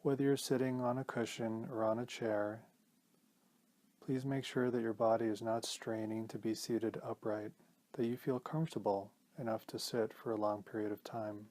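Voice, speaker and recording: A man leading a guided meditation as a teacher, speaking in a calm, gentle, measured way with pauses. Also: fairly low pitch.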